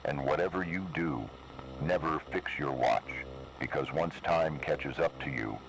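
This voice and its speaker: A man playing a grave, cryptic emergency announcer delivering deliberate analog horror warnings in a noisy radio-style broadcast. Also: very deep voice, gravelly, full character voice. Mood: ominous, grave.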